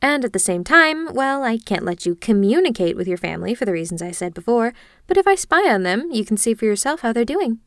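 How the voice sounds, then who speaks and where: A woman's voice: on the high side, sing-song, brisk, dry recording, studio quality. A woman playing an obsessive, clingy yandere kidnapper in an intimate ASMR roleplay, speaking in a gushing, hesitant, awkwardly earnest way straight to the listener. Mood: earnest, insistent.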